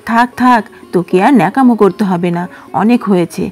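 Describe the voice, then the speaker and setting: A woman putting on a shrill scolding stepmother. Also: dark tone, faint music behind, close to an everyday voice. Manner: shrill, nagging, fast scolding. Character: scolding middle-aged stepmother, sharp-tongued and sulky. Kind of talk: storybook character voice.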